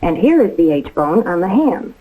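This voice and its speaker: A woman's voice: lowish voice, sing-song, moderate pace, phone-quality sound. A woman giving precise, step-by-step scripted instruction as a home economist in a 1950s training film. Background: music.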